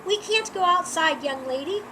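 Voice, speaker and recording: A woman's voice: extremely high pitch, lively intonation, moderate pace. A woman voicing a caring, protective mother who is soothing but firm, in a children's storybook reading. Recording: clearly noisy background.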